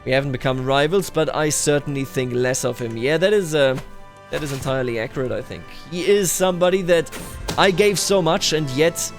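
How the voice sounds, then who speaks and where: A man giving unscripted roleplay commentary over a strategy game, musing aloud as a ruler in measured, formal wording. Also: fairly high pitch. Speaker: gaming video host. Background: music behind the voice.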